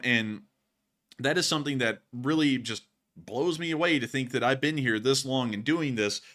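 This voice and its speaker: A man's voice: medium pitch, pushed and bright. A man in his early twenties hosting live news commentary, talking casually to viewers in an emphatic, folksy way. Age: early 20s. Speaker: news channel host.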